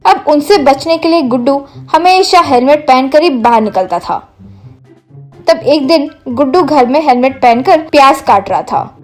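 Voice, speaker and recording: High-voiced woman narrating a story. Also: lively intonation, measured pace, soft background music.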